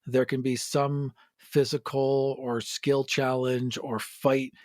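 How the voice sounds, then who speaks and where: A man's voice: mid-range voice, little pitch movement, takes it slowly, a little mellow, dry recording, clean studio sound. A man brainstorming in casual conversation, emphatic and sweary, often repeating himself for effect.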